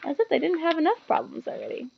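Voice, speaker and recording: A woman reading a children's novel aloud as an expressive storyteller. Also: high-pitched, quick pace, gravelly, lo-fi sound, slight background noise. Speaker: storybook reader.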